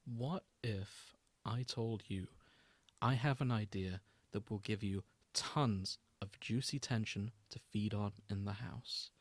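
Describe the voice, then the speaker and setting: A man voicing a scheming, coaxing male servant with a measured, persuasive delivery in a comic fan-fiction reading. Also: dry recording. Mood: coaxing, conspiratorial. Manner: measured, persuasive sales pitch.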